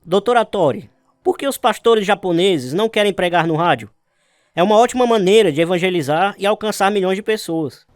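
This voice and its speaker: An actor playing an earnest missionary husband with a Brazilian accent, telling his life story in a radio drama with sincere, measured delivery. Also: sits high, expressive, no room sound, clean studio sound, faint music behind. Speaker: narrator and lead character. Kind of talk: dramatized radio testimony, scripted narration and dialogue.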